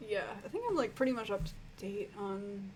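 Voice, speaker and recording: A woman with a croaky voice, a music reviewer answering viewer questions in a rambling, casual way full of fillers. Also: mid-range voice, animated, brisk, noisy background. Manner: rambling, filler-heavy, frank and swearing. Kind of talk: casual Q&A chat.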